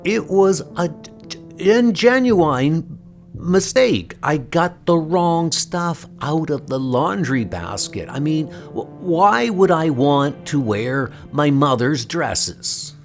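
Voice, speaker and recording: A man's voice: a little high, very animated, slow pace, voice pushed up, heavily acted. A man voicing a nervous, defensive young superhero with a hesitant stammer in a comic book audio drama. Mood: flustered, defensive. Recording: music under the voice. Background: music.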